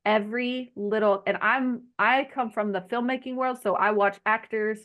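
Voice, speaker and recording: A woman's voice: fairly high pitch, steady tone, moderate pace, a bit bright. A woman with a blended Arkansas Southern and New Jersey accent, chatting casually and telling stories in folksy language as host of a group video call. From Arkansas, United States. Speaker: host of an online group chat.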